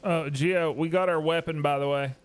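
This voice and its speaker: A man's voice: a little high, fairly even intonation, normal speed. A man giving casual, sarcastic reactions as a streamer playing a game. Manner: informal, sarcastic. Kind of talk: casual gameplay reaction chat. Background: game music.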